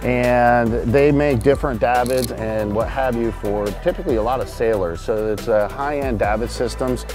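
A man's voice: medium pitch, moderate pace, few pauses, music in the background. A middle-aged man giving an informal, technical walkthrough of equipment as a host speaking outdoors. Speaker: host, charter fishing captain. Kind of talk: casual product walkthrough, explaining equipment. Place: outdoors on a boat roof at a marina.

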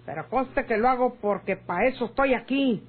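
An actress playing a young, sharp-tongued house maid with a rural Mexican accent in a radionovela. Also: female voice, a little high, normal speed, husky. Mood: indignant, scornful. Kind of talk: old radionovela acting. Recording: tinny, noisy old radio drama recording.

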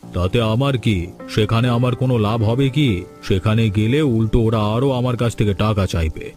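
A man playing a low-voiced greedy village bully. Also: a little fast, a little mellow, faint music behind, lightly acted. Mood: self-interested. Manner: domineering, scheming, scolding. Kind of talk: Bengali cartoon folk-tale dialogue.

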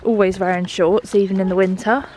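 Husky-voiced young woman vlogging. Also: mid-range voice, steady tone, fairly quick, a little mellow, slight background noise. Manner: chatty, rambling, fillers like "like". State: unwell, voice going. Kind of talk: casual vlog chat to camera. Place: bedroom indoors, then outdoors in countryside. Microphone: handheld camera at arm's length.

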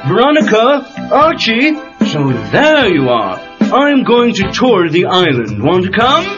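High-pitched pompous rich dad. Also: male voice, wildly swooping pitch, a little slow, heavily acted. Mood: hearty. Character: middle-aged wealthy businessman father, gruff, imperious. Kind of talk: dramatized comic-book audio story acting. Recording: muffled cassette with music behind.

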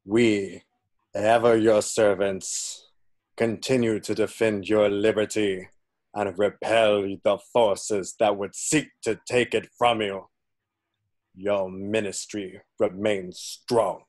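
A man acts a grave minister delivering a formal address to the nation. The voice is low and very even, and the words come slowly with solemn, measured weight. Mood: solemn resolve. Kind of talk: formal political speech in a table read.

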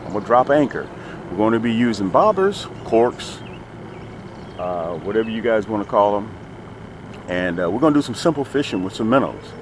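Animated middle-aged man, folksy. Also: lowish voice, normal speed. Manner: folksy, emphatic, repeats phrases for effect. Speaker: host of a fishing channel. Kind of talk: casual fishing vlog, talking to viewers. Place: outdoors on a small boat on a lake. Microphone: action camera mounted near him. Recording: outdoor noise, small action camera.

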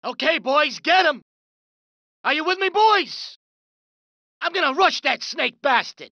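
A woman's voice: high-pitched, expressive, measured pace, sharp tone, no echo, heavily acted. A woman voicing a hot-tempered male mafia street thug from a video game, brash and profane. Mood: combat rage. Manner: brash, profane, swaggering tough-guy talk. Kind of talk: reciting video game pedestrian lines. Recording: dull lo-fi dry recording.

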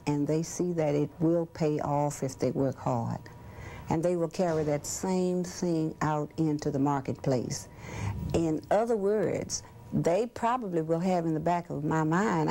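A middle-aged woman speaks in a low, mellow voice, firm and assured as she answers an interview question. A faint hiss sits under the speech.

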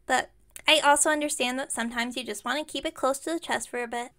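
A woman's voice: sits high, fairly even intonation, fast, sharp tone. A woman playing an enthusiastic, scatterbrained young witch shopkeeper in an ASMR roleplay, chatting and rambling to the listener. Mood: friendly, rambling. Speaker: voice actor in audio roleplay. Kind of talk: ASMR roleplay, one-sided conversation with listener.

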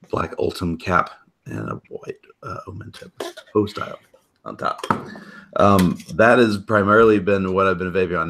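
A man in his thirties, a podcast host with a rough, hoarse voice, rambling casually with lots of fillers on a video call from a room at home. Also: low voice, pushed and bright. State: hoarse, coughing.

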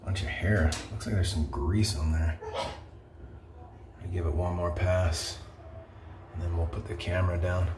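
A man working as a plumber, talking in a dry, matter-of-fact way while he clears a clogged drain. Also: low voice, fairly even intonation, unhurried, long pauses. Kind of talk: casual on-the-job narration. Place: indoor apartment bathroom. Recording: roomy bathroom echo, noisy work sounds.

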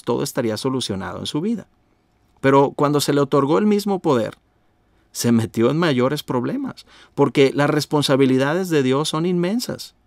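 A man in his thirties giving a calm, measured radio teaching, often asking the listener direct questions. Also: mid-range voice, no echo, clean studio sound. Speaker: pastor and radio host.

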